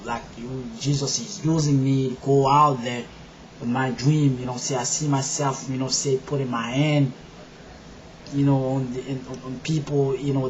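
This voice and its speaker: A man's voice: mid-range voice, slight background noise. Haitian man, rambling, dull lo-fi sound. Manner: rambling, many "you know" fillers, quiet at first and growing loud and emphatic. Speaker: video blogger. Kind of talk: personal testimony to camera.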